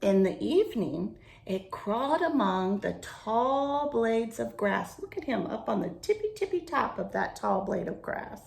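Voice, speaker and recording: A woman reading a picture book aloud to young children in an expressive, drawn-out way, with chatty asides and questions to the listeners. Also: fairly high pitch, gravelly. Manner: animated, drawn-out phrasing, asks the listeners questions.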